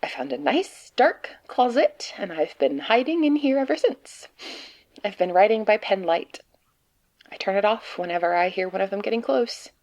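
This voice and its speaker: A woman playing a frightened, despairing agent trapped among monsters, delivering a halting, grimly sardonic confessional monologue in an audio drama. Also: mid-range voice, lively intonation, a little mellow, close to an everyday voice. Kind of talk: dramatic monologue reading of a written report.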